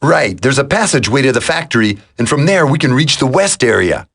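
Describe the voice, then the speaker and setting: Laid back game soldier, husky gravelly voice, droll. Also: male voice, mid-range voice, lively intonation, brisk, fairly bright tone. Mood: easygoing. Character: easygoing, unhurried male demolitions commando. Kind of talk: video game voice acting.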